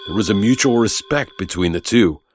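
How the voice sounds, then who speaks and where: A man's voice: lowish voice, expressive, a little fast, noisy background. A man narrating a scripted story in a dramatic storytelling style.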